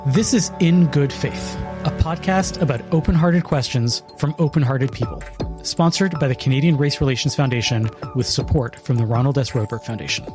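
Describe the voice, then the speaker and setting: Measured man hosting a podcast. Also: medium pitch, over music. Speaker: podcast co-host, rabbi and writer. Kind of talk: scripted narration and interview questions.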